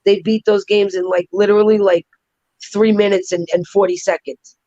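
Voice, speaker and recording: A middle-aged woman with a raspy voice and a New York accent, chatting and joking on a live stream. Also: medium pitch, dry recording, lo-fi sound. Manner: chatty, animated, quick to joke. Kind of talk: casual live stream chat.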